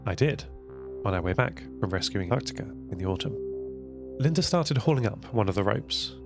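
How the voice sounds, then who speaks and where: A man with a creaky voice playing a thoughtful, gentle artificial intelligence, narrating an audio drama in a measured, reflective way. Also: fairly low pitch, lively intonation, over music. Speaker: narrator of an audio fiction podcast. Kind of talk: first-person scripted audio drama narration.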